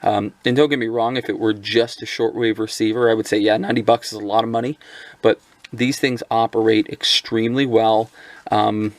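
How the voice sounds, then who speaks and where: A man's voice: fairly low pitch, flat delivery, fairly quick, no echo. A man giving a casual, rambling product review, with many fillers and restarts. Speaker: radio gear reviewer.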